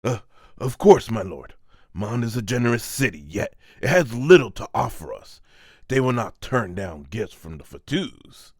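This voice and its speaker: A man's voice: fairly low pitch, very animated, moderate pace, darkish tone, husky, no echo, clean studio sound, exaggerated voice. Man voicing a fawning, nervous villain's underling. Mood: anxious fawning. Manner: fawning, stammering when pressed. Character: obsequious, nervous male underling scheming for his master. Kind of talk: amateur manga voice-over.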